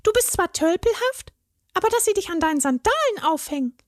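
Stefanie, a shrill scolding elf. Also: female voice, big pitch swings, a little fast, no echo, studio quality. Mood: cheeky. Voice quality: high and scolding. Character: tiny indignant female elf dancer.

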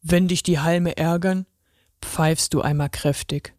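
A woman reading a children's story, voicing a gentle male pen who works as a ticket inspector, with a deep voice and an encouraging manner. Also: steady tone, a little slow, no echo, studio quality, natural voice. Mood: reassuring.

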